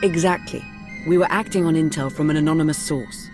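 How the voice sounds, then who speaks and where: A woman playing a shrewd, serious intelligence adviser in a video game, measured and analytical. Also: low voice, music in the background, lightly acted. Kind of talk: cinematic game dialogue.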